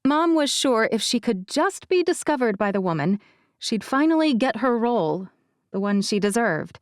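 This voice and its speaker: Woman as a guarded young actress, higher-pitched voice. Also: sing-song, moderate pace, no room sound, studio quality. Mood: reflective. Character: guarded, self-effacing young actress recounting her childhood. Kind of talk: audiobook character dialogue.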